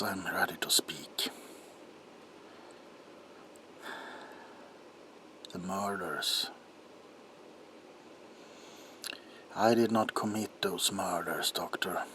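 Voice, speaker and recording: A man playing a troubled, desperate doctor in an ASMR roleplay monologue, speaking haltingly in a hushed voice that often drops toward a whisper. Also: low-pitched. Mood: uneasy, guarded. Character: troubled doctor protesting innocence, desperate and haunted. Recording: audible background noise.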